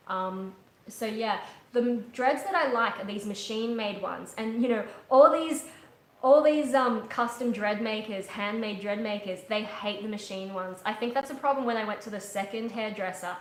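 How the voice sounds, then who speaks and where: A woman's voice: mid-range voice, a little hiss or hum. Chatty young woman in echoey room. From Australia. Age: young adult. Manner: rambling, chatty, many ums and restarts. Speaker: livestream host, metal singer. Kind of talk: casual live chat. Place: living room, on a couch, indoors. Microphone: webcam at a distance.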